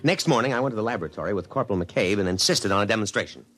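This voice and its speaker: Low-voiced, stiff army officer. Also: male voice, expressive, rapid-fire, fairly bright tone, soft background music. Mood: dry, self-satisfied. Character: stiff, by-the-book male army officer, narrating. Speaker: narrator. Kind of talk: 1950s radio drama acting and narration.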